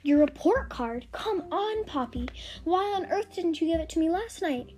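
A woman voicing a brisk, fussy and excitable mother of a young witch. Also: very high-pitched, voice pushed up. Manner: brisk, scolding then gushing.

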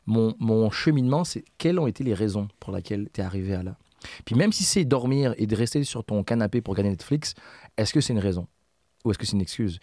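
A man with a Québécois French accent, co-hosting a casual podcast chat, reflective and self-critical and quick to laugh. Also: lowish voice, darkish tone, no room sound, clean studio sound. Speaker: podcast co-host.

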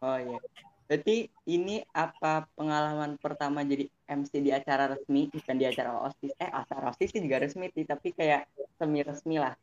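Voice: mid-range voice, brisk. A young interviewer with an Indonesian accent chatting casually as host, on a muffled, lo-fi recording. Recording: muffled lo-fi audio with faint noise.

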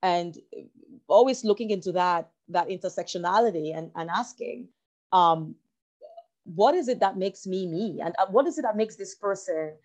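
A woman from Cameroon talking reflectively as an interview guest, halting and repeating phrases as she searches for her words. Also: on the low side, animated, a little mellow. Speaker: interview guest, writer and actor.

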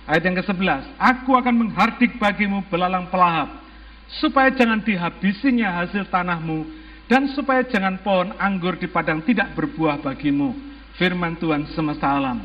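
A man's voice: sits high, moderate pace. A man with an Indonesian accent preaching to a congregation as a pastor, exhorting them emphatically with rhetorical questions and some English phrases mixed in. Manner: mixes English phrases into speech. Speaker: church pastor. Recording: muffled, long hall reverb.

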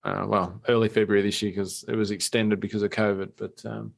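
An Australian man co-hosting a podcast, chatting casually with dry jokes and a halting delivery. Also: on the low side, little pitch movement, creaky, husky. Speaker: podcast co-host. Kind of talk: casual chat.

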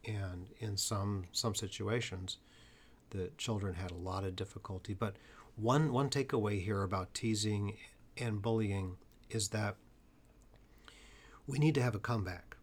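A man's voice: fairly low pitch, a little slow. A man hosting a podcast conversation, earnest, with an occasional stutter. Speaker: podcast host.

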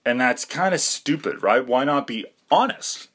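Slow, hesitant young man. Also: mid-range voice, lively intonation, a bit bright, slightly rough. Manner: hesitant, self-deprecating, many fillers and restarts. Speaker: podcast host. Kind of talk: rambling personal monologue.

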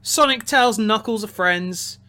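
A man with a bright, keen-edged voice chats as co-host of a casual review podcast. He thinks aloud, often restarting and repeating words before finishing a point. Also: sits high, measured pace. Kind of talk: casual film review discussion.

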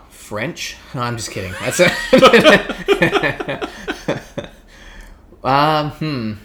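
A man's voice: on the high side, wildly swooping pitch, extremely slow, pauses often, crowd noise. A man with a Canadian accent giving casual, joking and somewhat hesitant answers in an interview. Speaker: podcast guest.

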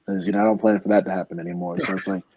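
A man's voice: sits low, flat delivery, rapid-fire, dark tone, breathy edge, dry recording. A young American man, a professional fighter, talking earnestly in a casual interview, rambling with many restarts. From United States.

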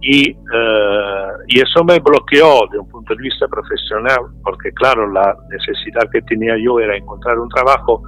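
A middle-aged Italian man speaking Spanish with an Italian accent, talking in a radio interview with frequent pauses and fillers. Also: medium pitch, fairly bright tone, faint music behind, poor audio. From Italy. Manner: hesitant, many fillers and restarts. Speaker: interview guest.

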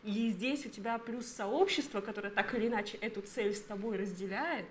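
A woman's voice: mid-range voice, a little fast, a little hiss or hum. A woman of thirty co-hosting a podcast, explaining her thoughts at length in casual chat. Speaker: podcast co-host.

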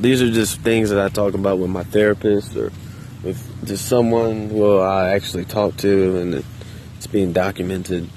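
A man with a very deep voice, speaking low and halting, as if worried someone might overhear. He rambles loosely and swears casually. He is recording outdoors, with faint traffic somewhere behind him. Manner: quiet, subdued, hesitant with many restarts. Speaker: vlogger. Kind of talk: casual solo monologue. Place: outdoors in a park.

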